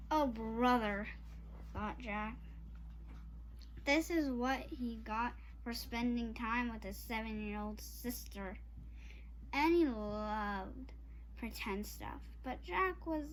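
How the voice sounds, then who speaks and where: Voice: mid-range voice, a little hiss or hum, rough recording. A child reading a children's chapter book aloud to listeners in an informal way. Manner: informal, apologizes and speaks straight to listeners. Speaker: storybook reader for online viewers.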